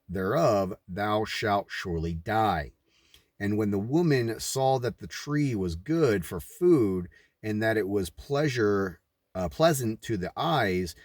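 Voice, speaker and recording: Male book reader, lowish register, dry sound, deliberate and emphatic, slips into informal asides. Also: darkish tone, no echo. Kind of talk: read-aloud narration with informal commentary.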